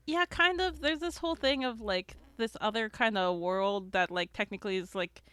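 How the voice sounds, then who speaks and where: A woman talks casually in a very clean, resonant voice at a medium pitch. The sound is dry, with no room around it. She explains in a loose, slangy, rambling way and swears freely. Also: a bit bright, smooth, dry recording. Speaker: podcast panelist. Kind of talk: casual chat.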